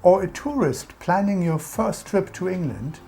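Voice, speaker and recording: A woman with a German accent talks through a scripted lesson on English manners, leaning hard on key words. Her voice sits low and mellow yet moves widely in pitch. Faint music plays under her. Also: lively intonation, unhurried, creaky. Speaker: co-host teaching about English culture. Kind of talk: scripted explainer narration.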